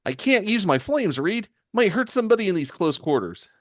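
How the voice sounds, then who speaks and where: A man voicing an earnest, hotheaded young comic-book superhero in an urgent, high-strung way. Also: sing-song, brisk, no echo, studio quality. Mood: urgent, worried.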